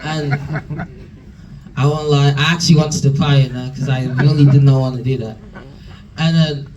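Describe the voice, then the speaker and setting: A man's voice: mid-range voice, fairly even intonation, normal speed, darkish tone, far from the mic, muffled recording. A young man, mentee telling a story to a room, chatty with many fillers and restarts. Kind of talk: nervous personal story at a talk. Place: room with an audience. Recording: from across a room, faint room noise.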